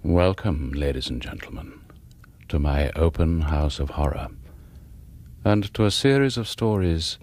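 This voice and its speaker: An actor playing a macabre radio horror host, speaking in a theatrical, slyly ominous way. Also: male voice, extremely low pitch, expressive, measured pace, darkish tone. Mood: ominous welcome. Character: macabre horror anthology host welcoming his guests. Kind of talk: radio horror anthology introduction.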